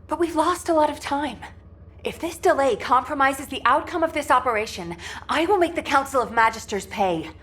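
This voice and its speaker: A confident female fighter from a sci-fi game. Her fairly high voice carries steel with a teasing streak. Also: lively intonation, normal speed, fairly bright tone. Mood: resolute. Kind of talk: video game dialogue.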